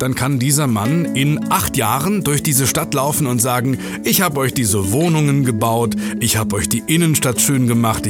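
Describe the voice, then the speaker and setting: A man hosting an interview podcast, presenting lively and asking playful, teasing questions. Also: mid-range voice. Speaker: podcast host.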